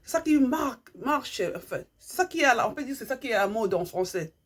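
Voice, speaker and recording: Husky-voiced woman. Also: a little high, expressive, a little fast. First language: Lingala. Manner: earnest, repeats herself, hunts for words. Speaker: vlogger. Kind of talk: impassioned monologue to subscribers.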